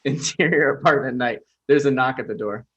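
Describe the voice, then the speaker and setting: Mid-pitched man reading stage directions. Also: brisk, a bit bright, muffled recording. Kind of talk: scripted narration for table read.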